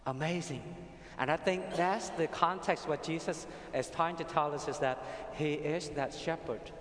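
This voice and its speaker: Middle-aged Vietnamese-accented priest preaching, unhurried storyteller; roomy cathedral with long reverb. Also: male voice, on the high side. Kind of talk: sermon. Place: large cathedral.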